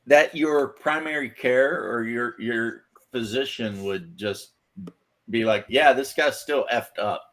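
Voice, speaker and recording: A man's voice: mid-range voice, takes it slowly, a bit bright. A man in a casual group chat, telling stories in a laid-back, wry way.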